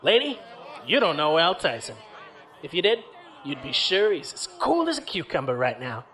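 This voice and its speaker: A man's voice: fairly high pitch, wildly swooping pitch, moderate pace, fairly bright tone. An actor playing a loyal young starship crewman with a casual, reassuring manner in an audio drama. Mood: tense, defensive.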